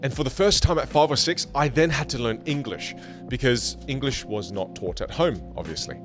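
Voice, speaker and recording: A man whose first language is Teochew, teaching communication skills in an online English lesson with an animated, jokey delivery. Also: mid-range voice, moderate pace, music in the background.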